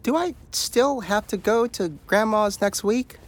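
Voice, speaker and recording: A woman's voice: a little high, unhurried. A woman playing a young boy in an audio drama, a curious and stubborn son who talks in blunt, whiny questions.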